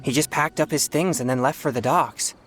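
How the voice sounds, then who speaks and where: A woman's voice: a bass voice, brisk, faint music behind. A woman voicing an earnest, polite teenage boy who is hard on himself, in a video game. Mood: subdued, earnest. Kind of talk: video game character acting.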